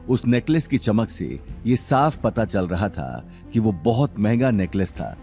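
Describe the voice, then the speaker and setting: Lively male audio drama narrator. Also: medium pitch. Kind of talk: dramatic scripted story narration.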